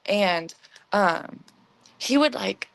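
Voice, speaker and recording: A young woman as an interview guest, telling stories in a candid, slangy, halting way with frequent "like" and acted-out dialogue. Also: mid-range voice, animated, vocal fry, poor audio. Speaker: interview guest, former inmate. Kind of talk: candid conversational storytelling.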